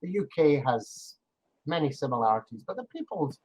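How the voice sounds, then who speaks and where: A man's voice: fairly high pitch, very animated, moderate pace, a little mellow, husky. An older man with a Scottish accent, chatting as an online English tutor, explaining with examples and laughing easily. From Scotland. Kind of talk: casual conversation lesson.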